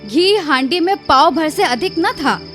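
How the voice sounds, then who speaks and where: An actress in a radio drama playing a proud, aggrieved young village wife, plaintive and indignant. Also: female voice, high-pitched, animated, measured pace, a bit bright, soft background music. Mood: indignant complaint. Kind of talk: Hindi radio drama acting.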